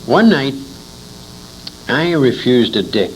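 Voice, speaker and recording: A man's voice: mid-range voice, sing-song, slow. An older man casually reminiscing as an interviewee, rambling and emphatic, with a salty, bawdy storytelling manner. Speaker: interviewee, old-time radio veteran. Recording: noisy amateur interview recording.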